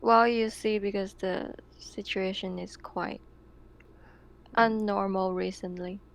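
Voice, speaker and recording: Slow, gravelly-voiced woman player. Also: medium pitch, fairly even intonation. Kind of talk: casual tabletop game chat.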